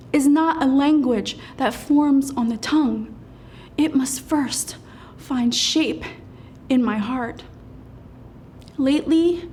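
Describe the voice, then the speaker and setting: A woman performing spoken-word poetry as a poet, in a solemn, deliberate manner with weighted pauses and dramatic delivery. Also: sits high, a little mellow, a little hiss or hum.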